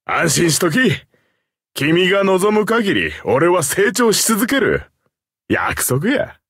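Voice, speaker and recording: A man voicing a genial, confident middle-aged hero commander in a mobile game, with an Osaka Kansai accent, a husky voice and an expressive delivery. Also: high-pitched, slow pace, no room sound, clean studio sound, heavily acted. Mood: reassuring. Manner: expressive, teasing, rallying. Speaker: game character voice actor. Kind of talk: Japanese mobile game character voice lines.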